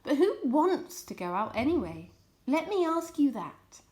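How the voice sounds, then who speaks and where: A woman's voice: high voice, very animated, a little slow, a little mellow, voice pushed up. A woman voicing a jovial, confident male fox leader, making rousing speeches in a children's storybook. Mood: serious, rousing. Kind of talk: children's storybook character reading.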